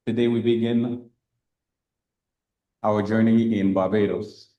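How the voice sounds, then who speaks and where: A man hosting an interview, reading his introduction and asking questions in a measured way. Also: lowish voice, little pitch movement, echoey room, highs cut off. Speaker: host.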